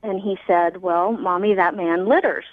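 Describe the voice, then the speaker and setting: A woman's voice: lowish voice, steady tone, normal speed, no echo. A woman talking casually as a guest on a radio phone call. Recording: dull phone line, voices behind.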